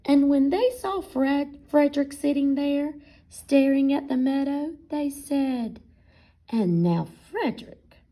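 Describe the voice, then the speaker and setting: A woman reading a picture book aloud as a teacher, expressively, pausing to question and explain to young listeners. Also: sits high, steady tone, dark tone, rough recording.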